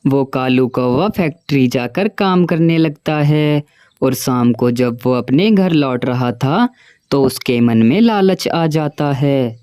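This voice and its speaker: Storybook narration for a cartoon, slow, even, close and dry. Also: medium pitch, smooth, no echo. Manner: plain storytelling.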